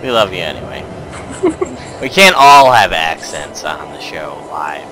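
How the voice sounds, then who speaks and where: A man's voice: very deep voice, very animated, unhurried, soft background music, rough recording. A man hosting a casual group chat over gameplay, joking and teasing his co-hosts. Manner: joking, teasing, loud bursts. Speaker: show host.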